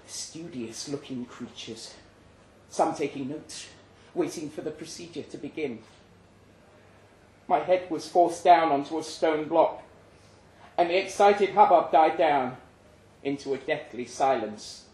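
A man reading literary fiction aloud in a dramatic first-person narration, with a grave, measured delivery. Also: a little high, darkish tone. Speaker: story reader. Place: indoor live reading event. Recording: echoey live room.

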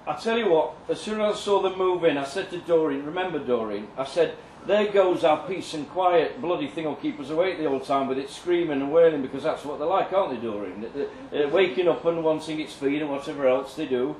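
A man's voice: on the high side, brisk, hardly any pauses, darkish tone, natural voice. Gossipy grumbling neighbour a man acting, roomy echo. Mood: grumbly, gossipy. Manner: nosy, seeks agreement from his wife. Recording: distant echoey live venue, background noise.

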